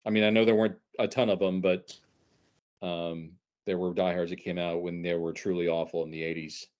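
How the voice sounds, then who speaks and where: Low-voiced man on a dull recording. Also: little pitch movement, brisk, darkish tone. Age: 30s. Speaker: podcast guest, stadium announcer. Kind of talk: casual sports chat. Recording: dull lo-fi audio.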